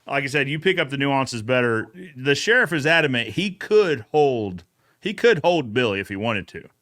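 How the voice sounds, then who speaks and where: A man hosting a casual recap chat, retelling events in an animated way and acting out bits of dialogue. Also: medium pitch, moderate pace. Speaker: show host.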